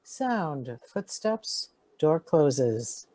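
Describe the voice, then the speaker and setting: A woman's voice: low-pitched, sing-song, extremely slow, mellow, no echo. A woman reading out sound effect cues in short, scripted phrases. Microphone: video call.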